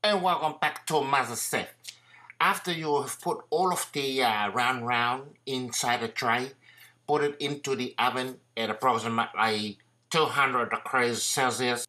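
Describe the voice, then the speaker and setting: A man's voice: mid-range voice, a little slow, sharp tone. A man doing a goofy uncle. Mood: showy. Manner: broken English, hesitant and goofy. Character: bumbling middle-aged island uncle playing TV chef. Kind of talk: comedy sketch acting.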